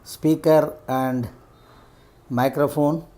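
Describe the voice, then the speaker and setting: A middle-aged man with an Indian accent giving unscripted unboxing commentary as a vlogger, in halting, piecemeal phrases. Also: fairly high pitch, fairly even intonation, warm tone. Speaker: vlogger reviewing rider gear.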